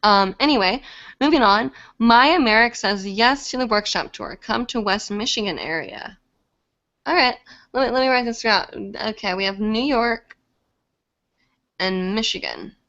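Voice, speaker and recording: A woman's voice: fairly high pitch, animated, a little slow, fairly bright tone, lo-fi sound. A young woman hosting a live stream, chatty and full of fillers, with a slightly congested voice from a cold. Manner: chatty, many fillers, laughs easily. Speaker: live show host. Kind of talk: casual live-stream chat reading comments.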